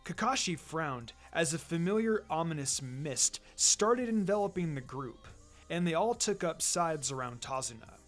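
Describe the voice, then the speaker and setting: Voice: medium pitch, expressive, measured pace, faint music behind. A narrator reading fiction aloud in a dramatic, scripted storytelling style. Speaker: narrator, fan fiction reader.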